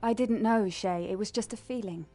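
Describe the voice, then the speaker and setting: Actress as a calm mysterious heroine, medium pitch. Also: female voice, moderate pace, soft background music, natural voice. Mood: gentle, grateful. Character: calm, mysterious woman seeking her true origins. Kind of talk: fantasy video game voice acting.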